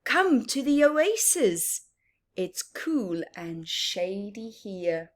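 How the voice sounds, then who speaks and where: A woman reading a picture book aloud to young children, interactive and expressive, with a sing-song, questioning manner. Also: mid-range voice, drawn-out words. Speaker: children's story reader and teacher.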